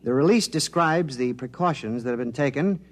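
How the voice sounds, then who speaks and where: A man's voice: medium pitch, normal speed, lightly acted. An actor in a 1950s radio drama playing an earnest male linguist briefing reporters about contact with aliens. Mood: explaining.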